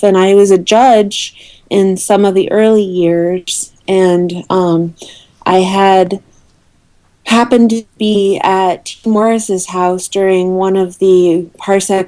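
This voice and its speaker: Female interview guest, soft-edged mellow tone, mid pitch, conversational explainer who pauses and rewords. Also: low-quality recording. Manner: hesitant, often rephrasing mid-sentence. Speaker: interview guest, awards committee head. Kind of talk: casual explanatory interview chat. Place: remote call into a podcast.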